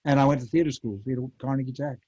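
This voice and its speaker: An American man in his eighties, a former radio actor, reminiscing in a panel interview with halting, animated storytelling. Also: mid-range voice, slightly rough. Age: 80s. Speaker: former child radio actor, convention guest. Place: remote virtual convention call. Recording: muffled lo-fi call audio.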